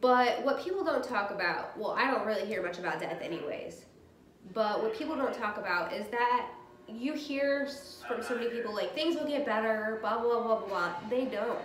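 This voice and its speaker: A woman in her thirties talks openly to a camera set across her dining room, her voice stuffed up from a cold. She speaks a little loud and straightforward. The room has a roomy echo with a faint background hum. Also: on the high side, animated, normal speed. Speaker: vlogger. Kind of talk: personal vlog talk.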